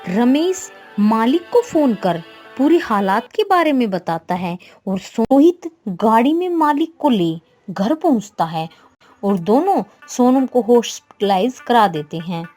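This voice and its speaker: Woman reading a story out, highish voice, lots of up n down, music behind. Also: big pitch swings, measured pace, a little mellow. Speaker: story narrator. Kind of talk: scripted storybook narration.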